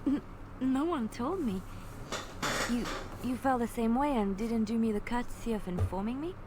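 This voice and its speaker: A woman playing a gentle, bashful bard adventurer in a fantasy video game, earnest, hesitant and playful. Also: fairly high pitch, normal speed, lightly acted. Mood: flustered, teasing. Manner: soft-hearted, hesitant, earnest. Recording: clearly noisy game playback.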